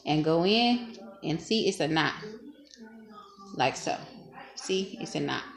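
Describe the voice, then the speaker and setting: A young woman talking casually through a hair-braiding tutorial at home, chatty and self-teasing, laughing often, recorded on a camera propped low in front of her. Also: expressive, slow. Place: small dim room at home. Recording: echoey room, faint noise.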